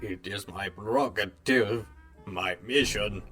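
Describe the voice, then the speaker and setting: A man putting on a menacing machine assassin in a radio drama, low-pitched and speaking each line slowly and flatly. The killer sounds coldly programmed and utterly deliberate. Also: faint music behind. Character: cold mechanical assassin, programmed killer, male.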